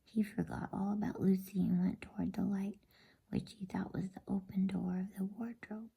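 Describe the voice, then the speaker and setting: A woman reading a bedtime story aloud as ASMR, in a soft, slow and calm unvoiced whisper. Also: mid-range voice, vocal fry, breathy edge.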